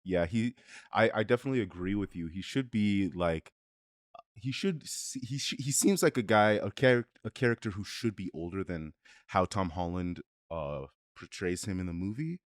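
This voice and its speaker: Low-pitched man, close dry sound, chatting. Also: lively intonation, normal speed, no room sound. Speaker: review show co-host. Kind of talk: casual film review chat.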